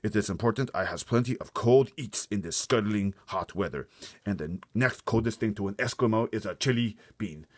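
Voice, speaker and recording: A man's voice: lowish voice, normal speed, dry recording, clean studio sound, lightly acted. A man voicing a huge, greedy, bullying male giant for a storybook, bellowing insults in broken grammar. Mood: gloating.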